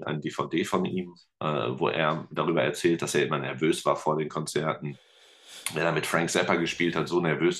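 A man with a Ruhr German accent, a teacher and musician, telling stories in a relaxed, chatty podcast interview with lots of fillers. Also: a bass voice. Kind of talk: casual interview chat.

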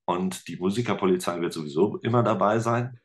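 A man with a Ruhr German accent, a teacher and musician, telling stories in a relaxed, chatty podcast interview with lots of fillers. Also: deep voice, brisk. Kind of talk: casual interview chat.

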